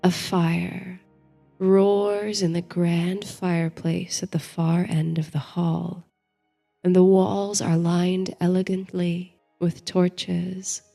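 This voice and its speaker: A woman narrating a bedtime story and guided meditation in a soothing, measured, dreamy manner, as the host. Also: on the low side, little pitch movement, vocal fry. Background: soft music.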